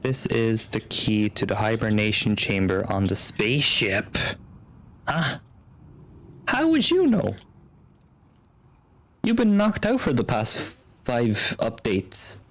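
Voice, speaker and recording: A man's voice: fairly low pitch, sing-song, takes it slowly, long pauses, a bit bright. A man streaming a game, reacting casually with wry, muttered asides and reading dialogue aloud.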